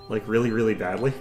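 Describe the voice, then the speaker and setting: A man's voice: lowish voice, darkish tone. A young man streaming, chatting casually with his viewers in a rambling way, full of fillers and restarts.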